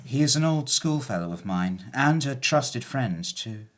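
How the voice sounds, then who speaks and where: A man's voice: medium pitch, expressive, a little slow, close to an everyday voice. An actor playing an earnest, deferential young errand boy of the 1820s who speaks formally. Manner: formal and deferential, ends lines with sir. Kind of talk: amateur stage play audio.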